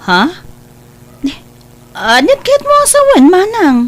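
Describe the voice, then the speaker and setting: Expressive Ilocano younger sister played by a woman. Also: very high-pitched, takes it slowly, full character voice. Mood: earnest, advising. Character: warm, counselling younger sister, a mother herself. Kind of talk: Ilocano audio drama acting.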